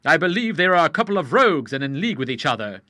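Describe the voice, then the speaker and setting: A man's voice: on the high side, big pitch swings, fast, pushed and bright, no echo, clean studio sound. A man doing an earnest young Englishman. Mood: suspicious. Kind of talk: audiobook character voice.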